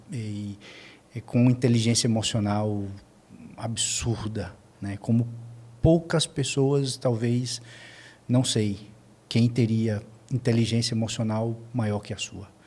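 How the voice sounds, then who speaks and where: Slightly husky Brazilian man, earnest. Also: medium pitch, a little mellow. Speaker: podcast guest. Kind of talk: earnest conversational testimony.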